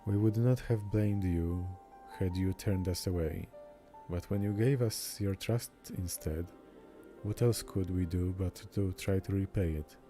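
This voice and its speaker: A man's voice: low voice, measured pace, mellow. A man reading game dialogue aloud as a streamer, sometimes stumbling over words and repeating them, with game music behind him.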